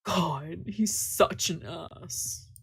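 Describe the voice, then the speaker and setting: A woman's voice: lowish voice, very slow, gravelly, slightly rough, exaggerated voice. A woman voicing a chatty, teasing young man from a visual novel, with a bubbly, familiar, slightly grumbly manner. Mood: grumbling. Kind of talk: acted visual novel dialogue.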